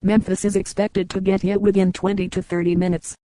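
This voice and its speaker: Mellow, even-toned woman reading news. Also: mid-range voice, fairly quick, no room sound. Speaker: news announcer. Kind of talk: scripted breaking news report.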